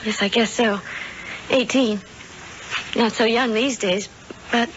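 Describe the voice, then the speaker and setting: High-voiced, naive teenage girl. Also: steady tone, slow pace. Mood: polite, curious. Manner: wide-eyed, earnest, hesitant. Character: naive, polite, sheltered eighteen-year-old small-town girl. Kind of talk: 1970s radio drama acting. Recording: dull radio tape, faint noise.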